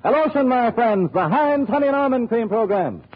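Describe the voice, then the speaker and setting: A man's voice: very high-pitched, lively intonation, normal speed, very noisy. A man announcing a vintage radio comedy program. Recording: dull old radio, loud music.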